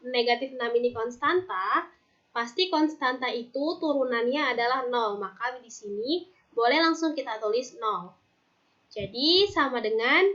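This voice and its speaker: A woman teacher from Indonesia explaining math step by step in a recorded online lesson, patiently slowing down on formulas. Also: a little high, pushed and bright, highs cut off. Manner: patient, steps through formulas aloud, slows on terms.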